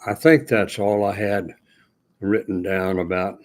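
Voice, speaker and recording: An older man speaking his thoughts slowly with many pauses and fillers, a retired illustrator talking about singing. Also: low voice, little pitch movement. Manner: slow, hesitant, many "uh" fillers and restarts. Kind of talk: unscripted personal talk to camera.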